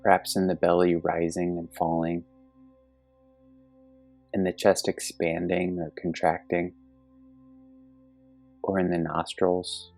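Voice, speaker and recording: Man, deep low register, gravelly fry, meditation guide, calm and gentle, measured pauses, soft music behind. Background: soft meditation music with singing bowl tones.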